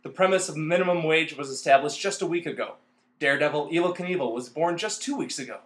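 A man's voice: fairly high pitch, fast talker, fairly bright tone, echoey room. A man hosting a podcast, reading a scripted, announcer-like introduction. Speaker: podcast host.

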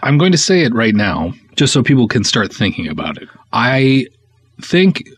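A man's voice: medium pitch, big pitch swings, normal speed, slightly rough, no echo. A man hosting a podcast as a filmmaker, giving a casual solo update in a conversational, enthusiastic way with emphatic stresses. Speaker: podcast host, film writer-director.

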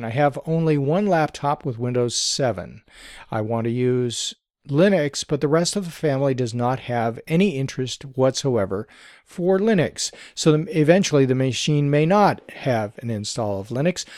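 A man co-hosting a tech podcast, talking at an ordinary middle pitch with a measured, explanatory manner. His voice sits dry and close to the mic, with no room sound around it. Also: a little mellow, no room sound. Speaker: podcast co-host. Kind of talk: casual tech podcast chat, reading listener email.

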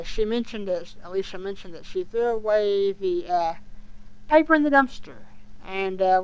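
A podcast co-host chatting casually as a fan, speaking in a halting way with hesitations that trail off. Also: mid-range voice, clear, resonant tone, poor audio.

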